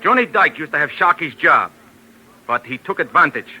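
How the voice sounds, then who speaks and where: An actor playing a heavyset, hot-tempered waterfront boss, blunt and bullying, in a 1940s radio drama. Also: male voice, on the high side, lively intonation, normal speed, sharp tone, soft background music, heavily acted, poor audio. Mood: surly, brusque. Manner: blunt, gruff, bullying, broken tough-guy grammar.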